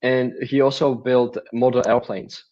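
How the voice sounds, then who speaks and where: A young man from the Czech Republic with a Czech accent, a native Czech speaker, answering interview questions remotely in a halting way. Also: mid-range voice, little pitch movement, a little mellow, muffled recording. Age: young adult. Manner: halting, with restarts and fillers. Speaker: guest, mindset coach.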